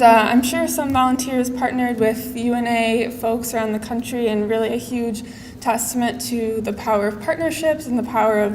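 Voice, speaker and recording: A woman organizer speaking on a conference panel. She talks in an upbeat, halting way with frequent ums. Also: a little high, a little mellow. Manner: hesitant, many "um" fillers, upbeat. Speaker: advocacy staff organizer for the Midwest region. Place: conference hall. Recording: reverberant hall with faint noise.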